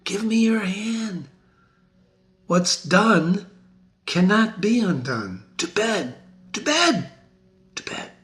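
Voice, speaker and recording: A man's voice: sits high, big pitch swings, slow pace, long pauses, fairly bright tone, voice pushed up, exaggerated voice. A man voicing a guilt-ridden sleepwalking noblewoman, repeating urgent, pleading commands in a dramatic reading of a stage tragedy. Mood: haunted, urgent.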